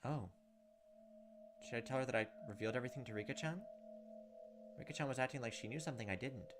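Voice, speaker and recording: A man's voice: mid-range voice, fast. A young man reading visual novel text aloud and commenting as a let's play host. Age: young adult. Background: game music.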